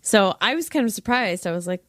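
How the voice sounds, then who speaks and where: A woman's voice: medium pitch, lively intonation, a little fast, no echo, clean studio sound. A woman hosting a podcast, telling a scripted story with wry, playful flourishes and casual chatty asides. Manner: wry, playful narration with old-fashioned flourishes. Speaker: true-crime podcast host.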